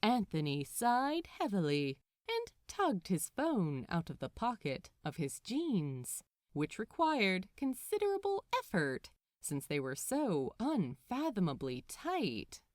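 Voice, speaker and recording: A woman narrating a story aloud in an expressive, measured, dramatic storytelling style. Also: medium pitch, dry recording, studio quality. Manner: animated, measured, dramatic phrasing. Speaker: podfic narrator.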